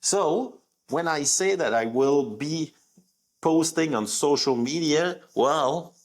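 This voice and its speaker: A man's voice: on the high side, takes it slowly. A young man who speaks French as his first language, with a French accent, talking casually on his live podcast with many fillers. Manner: conversational, many fillers, rising emphasis on key words. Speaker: podcast host.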